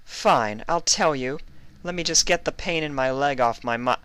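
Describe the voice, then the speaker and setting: Guy putting on a high voiced mouthy young mare for a pony story, combative and defiant. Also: moderate pace, fairly bright tone, clear, resonant tone, natural voice. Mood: grudging, sarcastic. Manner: spitting, combative.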